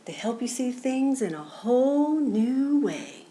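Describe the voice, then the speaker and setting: Cool, helpful picture-book cat, read by a woman; easygoing, slow, melodic delivery. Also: fairly high pitch, lively intonation, mellow, slight background noise, voice pushed up. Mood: kind, helpful. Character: laid-back, kindly cool cat in a children's story.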